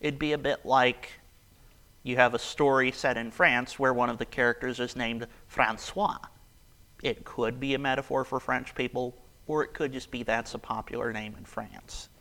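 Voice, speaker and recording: A man preaching a casual, conversational sermon, his voice raspy and hoarse from a cold. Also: mid-range voice, little pitch movement, normal speed, bright, forceful tone.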